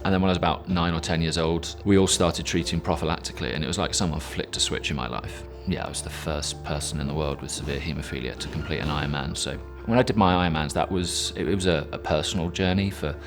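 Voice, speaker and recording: A man's voice: a bass voice, steady tone, quick pace, hardly any pauses. An English man speaking earnestly and reflectively in a documentary interview. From England. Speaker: climber with haemophilia. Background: music.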